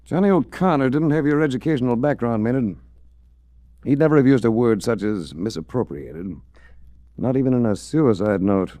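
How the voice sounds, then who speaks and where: An actor playing a terse, controlled hard-boiled detective in a 1940s radio drama. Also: male voice, mid-range voice, expressive, normal speed, mellow, no room sound, close to an everyday voice. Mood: dryly confident. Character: cool, hard-boiled male detective hero. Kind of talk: 1940s radio detective drama acting with first-person narration.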